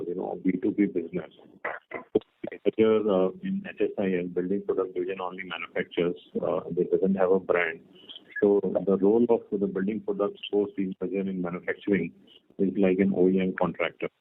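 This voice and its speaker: A man with an Indian accent answering questions as a company executive on an earnings call, explaining things in a halting way. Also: low-pitched, steady tone, a little hiss or hum. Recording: muffled narrow telephone conference line.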